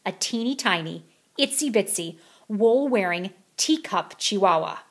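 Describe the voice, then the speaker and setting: A woman's voice: medium pitch, lively intonation, unhurried, breathy edge. A woman reading a children's picture book aloud as a storyteller.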